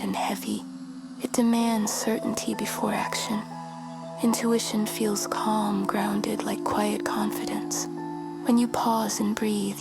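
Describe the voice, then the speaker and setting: A woman in a whisper, guiding a meditation in a slow, soothing way. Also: medium pitch. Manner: slow, soothing, flat delivery. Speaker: meditation guide. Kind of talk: guided meditation. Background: music.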